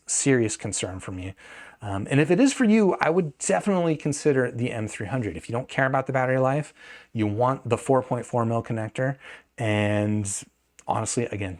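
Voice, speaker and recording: A man's voice: medium pitch, darkish tone. A man giving a casual, off-the-cuff product review in a conversational, opinionated way, adding asides as he goes. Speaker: audio gear reviewer and video host.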